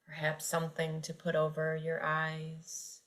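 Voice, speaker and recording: A woman guiding a yoga nidra meditation, speaking gently and unhurriedly with long pauses between phrases. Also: low-pitched, completely flat delivery. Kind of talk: guided meditation narration.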